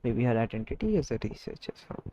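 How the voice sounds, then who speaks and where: A man livestreaming a game, reacting casually in an offhand, halting way. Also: medium pitch, big pitch swings, dark tone, lo-fi sound, slight background noise. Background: game music.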